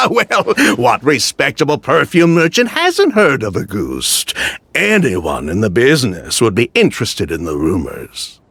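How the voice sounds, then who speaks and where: A man playing a sly, jovial merchant in a video game, smooth-talking with a false geniality and a gravelly, husky drawl. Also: medium pitch, huge pitch swings, measured pace, a bit bright, heavily acted. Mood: falsely jovial. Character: sly, jovial male merchant, elder brother and schemer. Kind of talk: scripted video game dialogue.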